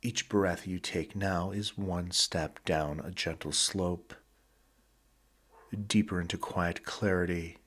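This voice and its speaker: A man narrating a sleep hypnosis session in a husky, breathy voice, with a slow, deliberate, soothing delivery and short pauses between phrases. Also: low voice, steady tone, a bit bright.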